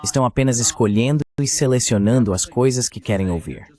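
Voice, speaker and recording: A man's voice: medium pitch, a little fast, warm tone. A man giving translated voice-over political commentary, opinionated and fond of rhetorical questions.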